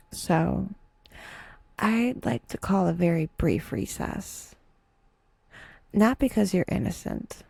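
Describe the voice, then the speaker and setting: A woman narrating a scripted guided meditation with wry, dry humor and a soft, breathy delivery, leaving unhurried pauses. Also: medium pitch, dry recording.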